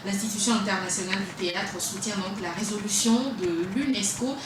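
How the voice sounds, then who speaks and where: Woman radio host, casual and halting, recorded far off in a boomy room with noise. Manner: chatty, hesitant with frequent fillers. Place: web radio studio. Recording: echoey room from far off, noisy.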